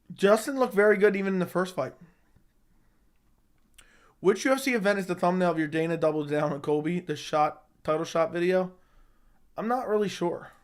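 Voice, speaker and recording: A slightly hoarse young man hosting a casual live chat, answering viewers with blunt opinions. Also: high voice. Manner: opinionated, talks straight to chat. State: slightly hoarse, losing his voice. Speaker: livestream host answering chat.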